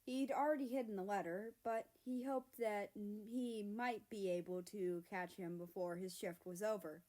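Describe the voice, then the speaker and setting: A woman reads a story aloud. Her voice sits at a middle pitch, and she delivers the prose as an amateur storyteller would when sharing written fiction. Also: steady tone, moderate pace, dark tone, rough recording. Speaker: narrator reading own fan fiction aloud.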